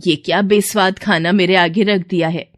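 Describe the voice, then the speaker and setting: A woman's voice: fairly low pitch, fairly even intonation, normal speed, natural voice. A woman voicing a bitter, elderly mother-in-law who scolds and taunts in a story. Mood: scornful. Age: older.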